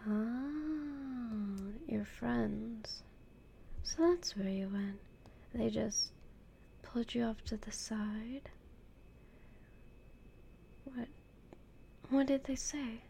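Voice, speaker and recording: A young woman whispering slowly and intimately as a doting, possessive girlfriend in an audio roleplay. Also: a little high, gravelly, slight background noise, exaggerated voice. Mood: soothing. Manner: soft whispering, slow and intimate.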